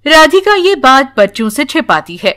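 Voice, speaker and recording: A woman's voice: high-pitched, very animated, normal speed, pushed and bright. A woman narrating a scripted bedtime story for children as a storyteller.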